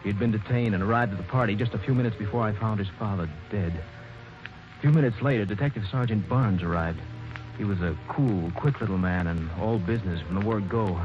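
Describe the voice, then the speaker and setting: A man giving measured, first-person narration in a 1940s radio mystery. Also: on the low side, over music. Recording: dull old radio recording with hiss.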